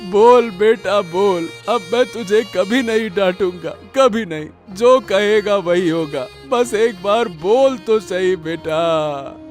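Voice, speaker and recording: A man's voice: high-pitched, slow pace, hardly any pauses, soft background music. A man voicing a desperate, grieving village father in a melodramatic cartoon story, with an overwrought delivery that repeats words. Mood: wailing grief.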